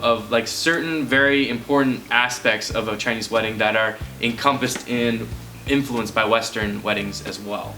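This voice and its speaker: Casting: American male guest, bright, forward tone, easygoing explainer, light music behind. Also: mid-range voice. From United States. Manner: relaxed, explanatory, with fillers. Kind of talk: casual chat.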